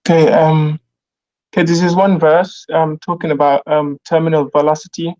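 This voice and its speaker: Man, fairly high voice, halting, casual unscripted talk, debate guest, muffled dry recording. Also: level tone, warm tone, no echo. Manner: hesitant, halting.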